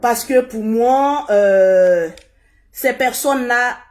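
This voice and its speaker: A woman hosting a casual live stream, hoarse and husky from a cold, repeating herself as she urges viewers to share. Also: a little high, slow pace, a little mellow. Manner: repetitive, urging viewers to share. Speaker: livestream host.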